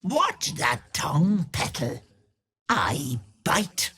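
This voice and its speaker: An actress voicing a wicked old hag with a raspy, cackling voice, taunting with sugary pet names. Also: female voice, sits low, big pitch swings, extremely slow, a bit bright, full character voice. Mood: menacing, teasing. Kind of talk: video game voice acting.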